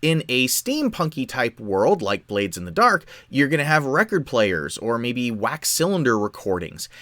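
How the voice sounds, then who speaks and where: A man explains software step by step in a tutorial style. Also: medium pitch, big pitch swings, normal speed, dry recording, clean studio sound. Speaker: tutorial presenter. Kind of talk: software tutorial, explanatory screen-share narration.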